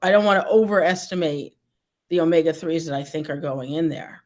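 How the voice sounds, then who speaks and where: A host in a casual interview, speaking in an earnest, measured way. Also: mid-range voice, darkish tone.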